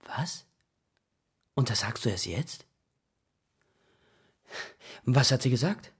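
Genervter Vater, belegte Stimme. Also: male voice, mid-range voice, animated, slow pace, breathy edge, exaggerated voice. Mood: incredulous, angry. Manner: scolding, impatient. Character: exasperated, stern father of a young girl. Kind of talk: children's radio play acting.

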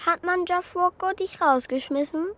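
A woman voicing a naive, eager wooden puppet boy in a children's radio play, with childlike, earnest excitement. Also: extremely high pitch, moderate pace. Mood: boastful.

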